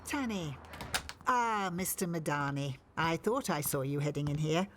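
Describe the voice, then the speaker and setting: An actress playing a brisk, bossy, chatty village organiser in a radio soap. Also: female voice, deep voice, expressive, noisy background. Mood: brisk, persuasive.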